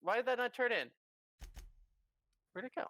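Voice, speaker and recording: A woman's voice: a little high, lively intonation, moderate pace, sharp tone, no room sound. A woman in casual gaming chat, muttering questions to herself as she plays.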